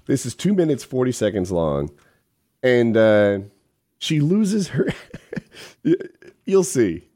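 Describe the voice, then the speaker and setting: A man's voice: medium pitch, sing-song, slow pace, mellow, no room sound, clean studio sound. A man casually presenting a clip and chatting as a podcast co-host.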